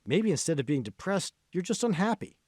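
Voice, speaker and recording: A man voicing an earnest, forceful male mentor who lectures emphatically in an audiobook. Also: high voice, big pitch swings, brisk, dry recording, clean studio sound, voice pushed up. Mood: earnest, encouraging. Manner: emphatic, instructive.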